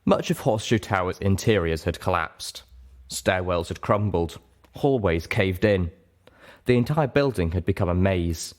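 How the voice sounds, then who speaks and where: A man's voice: deep voice, a little mellow. A man reading fiction aloud as scripted audiobook narration. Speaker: reader, narrator.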